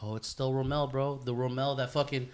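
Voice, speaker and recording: A man's voice: mid-range voice, little pitch movement, fairly quick. A man in his thirties talking in a heartfelt, casual podcast conversation, earnest and emphatic, with slangy, sweary phrasing. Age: 30s. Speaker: podcast host.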